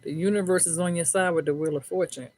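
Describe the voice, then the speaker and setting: A woman's voice: on the low side, fairly even intonation, fast, a little mellow, a little hiss or hum. A middle-aged woman giving a casual tarot reading, talking in a chatty, folksy way, swearing freely and often checking in with "right?". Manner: colloquial American speech. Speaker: tarot reader.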